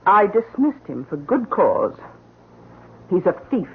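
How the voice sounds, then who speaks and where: An actress playing a coldly confident, scheming housekeeper in a radio mystery, speaking formally and with sly insinuation. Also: female voice, medium pitch, sing-song, slow, breathy edge, heavily acted. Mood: stern, formal. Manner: formal, insinuating, coolly assured. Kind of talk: radio mystery drama acting. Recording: muffled, noisy old radio broadcast.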